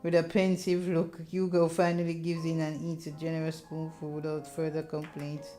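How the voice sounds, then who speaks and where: A woman's voice: low-pitched, monotone, normal speed, mellow, faint music behind. A woman reading a visual novel aloud as a let's player, with slangy, playful asides. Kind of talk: casual narration and commentary on a game.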